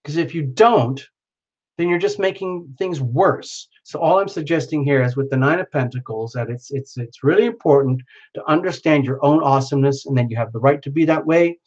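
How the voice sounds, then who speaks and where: A man's voice: a little high, a little fast, a little mellow, muffled recording. A man hosting a live stream, giving a casual, exuberant tarot reading and speaking straight to the viewer while losing his voice. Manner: exuberant, chatty, addresses viewer by name.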